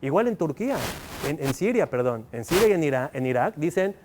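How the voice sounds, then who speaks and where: A man's voice: a little high, sing-song, brisk, background noise. A male university professor with a Mexican accent, lecturing students and firing rhetorical questions at them. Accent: Mexican Spanish. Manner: engaging, fires rhetorical questions at the audience.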